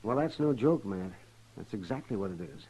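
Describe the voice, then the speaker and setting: An actor playing a folksy, excitable frontier town doctor in a 1950s western radio drama, with a husky voice. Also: male voice, lowish voice. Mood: amused. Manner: folksy, expressive drawn-out phrasing. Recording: dull, lo-fi old radio recording.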